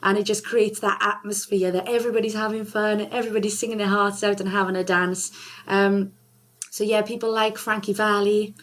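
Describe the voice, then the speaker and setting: Bubbly woman singer from Wales, enthusiastic, quick to laugh, mid-pitched. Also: little pitch movement, fairly bright tone. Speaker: singer and charity ambassador. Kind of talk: casual interview chat.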